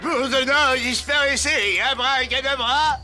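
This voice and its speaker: A theatrical old wizard. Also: male voice, extremely high pitch, steady tone, unhurried, music in the background. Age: older. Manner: theatrical, pompous. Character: old bearded male wizard, helpful and fussy. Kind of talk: French cartoon dub.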